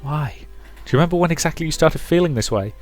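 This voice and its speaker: A man's voice: a little high, animated, quick pace, soft background music, voice pushed up, close to an everyday voice. A man voicing a timid, worried young woman who comforts her friend in a visual novel. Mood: gentle concern.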